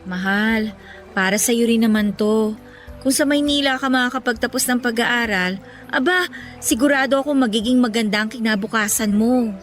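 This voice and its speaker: A woman playing a caring, level-headed young girlfriend in a Filipino radio romance, gentle and reassuring. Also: fairly high pitch, moderate pace, faint music behind, natural voice. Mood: caring, wistful. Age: young adult. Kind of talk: Tagalog radio romance drama acting.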